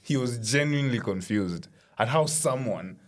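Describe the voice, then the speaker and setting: A man's voice: medium pitch, lively intonation, moderate pace. A man co-hosting a comedy podcast, teasing his partner and laughing often. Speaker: podcast co-host. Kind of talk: casual comedic chat.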